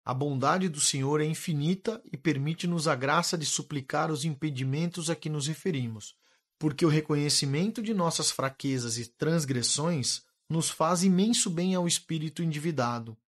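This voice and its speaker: An actor playing a calm, wise male spirit instructor who speaks in a measured, gently authoritative way. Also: on the high side, lightly acted. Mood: patient teaching. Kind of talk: radio drama acting.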